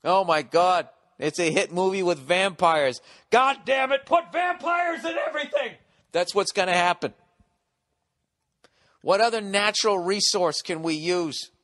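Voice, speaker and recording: A middle-aged American man hosting a podcast, ranting sarcastically with lots of swearing, going loud then quiet. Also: on the high side, no room sound, studio quality. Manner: profane, sarcastic ranting, swings loud and soft. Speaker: podcast host. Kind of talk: ranting solo podcast monologue.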